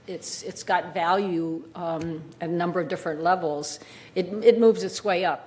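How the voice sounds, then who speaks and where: A woman answering off the cuff as a candidate at a public meeting, speaking haltingly and searching for words. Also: fairly low pitch, darkish tone, a little hiss or hum. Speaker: board candidate at a community forum. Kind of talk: impromptu spoken answer at a meeting.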